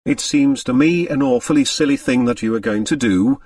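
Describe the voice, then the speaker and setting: A man voicing a sensible, tactful woman in an audiobook, brisk, matter-of-fact and reassuring. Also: mid-range voice, a little mellow, dry recording, clean studio sound, recorded from a distance, close to an everyday voice. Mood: frank. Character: sensible, robust, tactful woman calming a fellow traveler.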